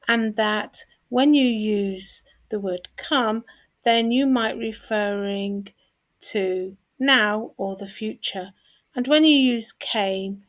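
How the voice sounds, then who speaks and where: Woman, English teacher, slow measured pace, careful instructional delivery, stressed key words, grammar lesson. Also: mid-range voice. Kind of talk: scripted grammar lesson for learners.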